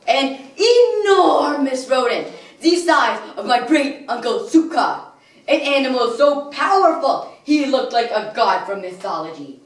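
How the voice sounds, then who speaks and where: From across an echoey room with some background noise comes a grandiose, bitter villain played by a young girl. He tells his story in a slow, theatrical stage delivery, swinging widely in pitch between weighty pauses and swelling outbursts. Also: expressive, distant mic. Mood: awed wonder. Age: child or young teenager, 13 or under. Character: melodramatic male soap-opera villain, bitter and grandiose crime lord.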